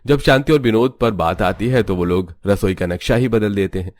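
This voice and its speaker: A man's voice: lowish voice, fairly quick, a little mellow, no room sound. A man narrating a scripted family cartoon story as its storyteller.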